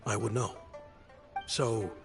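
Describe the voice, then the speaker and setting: A man voicing a weary, shrewd, reserved businessman in a video game, with a measured, sighing delivery. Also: fairly low pitch, fairly even intonation, recorded from a distance, music in the background. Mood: somber. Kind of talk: video game dialogue acting.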